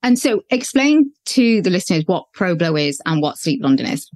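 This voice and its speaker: A woman's voice: fairly low pitch, very animated, normal speed, darkish tone. An Irish woman hosting a business podcast, reading her intro and interviewing a guest. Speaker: podcast host and business coach.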